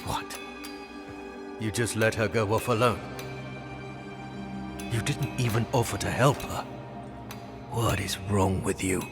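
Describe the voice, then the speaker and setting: An actor playing a blunt, driven wandering hero in a game cinematic, speaking in short, confrontational lines. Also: male voice, fairly low pitch, slow, creaky, pauses often. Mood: accusing. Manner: terse, confrontational. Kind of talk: video game cinematic acting. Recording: loud music behind the voice.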